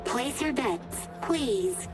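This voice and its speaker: An actress playing a polite, formal casino croupier in a video game. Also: female voice, a little high, expressive, drawn-out words, music in the background. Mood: formal, detached. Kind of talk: video game cutscene acting.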